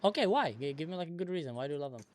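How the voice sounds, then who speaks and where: A man's voice: a little high, very fast. A man born in Israel with Arabic as his first language, hosting a live video-chat debate stream and coolly pressing strangers with pointed, sarcastic questions. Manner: probing, calmly sarcastic questioner. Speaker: livestream host.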